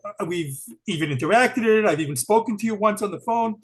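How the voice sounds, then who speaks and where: A man hosts a podcast interview, eager and laughing often. His voice sits high for a man and carries a husky edge. He keeps the questions coming in a chatty way. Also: expressive, a little fast. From Brooklyn, New York. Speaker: podcast host.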